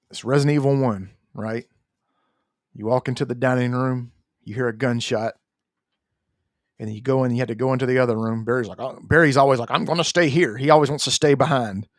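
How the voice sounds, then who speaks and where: A man's voice: mid-range voice, moderate pace, long pauses, no room sound, studio quality. A man co-hosting a casual gaming podcast, telling things in an emphatic, slangy, sweary way. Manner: slangy, emphatic storytelling, profane. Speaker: podcast co-host. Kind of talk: casual chat about video games.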